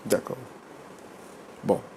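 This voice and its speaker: A man's voice: very slow, background noise. A man hosting a reconciliation talk show, probing his guests and pressing them for direct answers.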